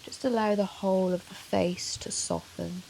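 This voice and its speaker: A woman yoga teacher leading a guided meditation in a soothing, measured, gentle manner with long pauses. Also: medium pitch, fairly even intonation, creaky, low-quality recording. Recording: soft hiss of rain noise. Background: soft rain sound.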